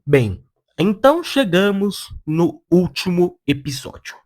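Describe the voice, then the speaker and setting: Brazilian Portuguese accent, medium pitch, casual opinion commentary, slangy, blunt, sarcastic video essayist. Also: sing-song, slow.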